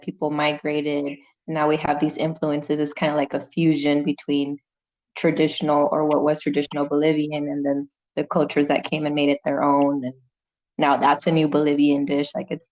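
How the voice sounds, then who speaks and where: A woman's voice: deep voice, a little mellow. A woman hosting a podcast interview in a casual, conversational way, on a slightly dull recording. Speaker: podcast host.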